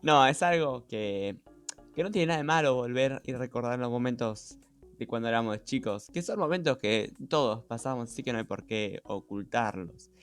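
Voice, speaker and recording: A man's voice: medium pitch, expressive, normal speed, smooth. A man co-hosting a podcast, explaining things in a conversational way. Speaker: podcast co-host. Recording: music behind the voice.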